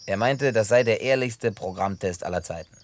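A man acting in a German radio play as a gruff, hot-headed but harmless drifter who tells things in a colloquial, grumbling way. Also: on the low side, moderate pace, natural voice. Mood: wry, reminiscing.

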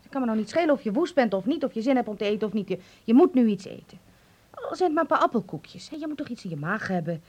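A woman's voice: fairly high pitch, sing-song, fast talker, a little mellow, breathy edge. An actress playing a stern, controlling mother in a Dutch radio play, commanding and reproachful in manner. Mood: anxious, insistent. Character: stern, wounded, controlling mother of a teenage son.

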